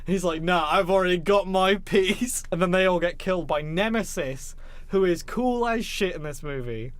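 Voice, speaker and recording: A man with a fairly high-pitched voice co-hosts a film podcast. He talks casually and enthusiastically, telling things in a rambling way and swearing freely. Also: normal speed, clean tone. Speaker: podcast co-host. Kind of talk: casual chat about films.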